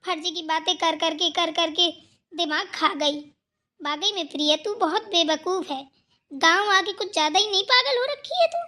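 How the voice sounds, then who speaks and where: A woman's voice: very high voice, normal speed, bright, forceful tone. A woman voicing a haughty, quarrelsome elder sister-in-law in a Hindi family cartoon, scolding, sarcastic and dismissive. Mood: demanding, scornful.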